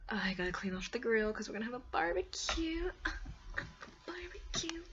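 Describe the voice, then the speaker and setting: A woman chatting casually and ramblingly to her viewers, with an animated, dramatic storytelling manner. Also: fairly high pitch, normal speed, noisy background. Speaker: vlogger and hobby story writer. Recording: dull lo-fi home recording.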